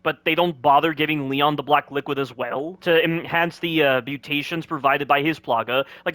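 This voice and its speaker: A man's voice: a little high, sharp tone, dry recording. A man giving critical voice-over commentary on game cutscenes, asking one rhetorical question after another.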